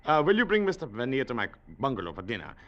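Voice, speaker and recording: An actor playing an urbane, wry colonial district official with a deliberate, formally polite manner, in old radio drama style. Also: male voice, medium pitch, huge pitch swings, a bit bright, no room sound, lo-fi sound. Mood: polite, amused. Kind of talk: 1940s-50s radio drama acting.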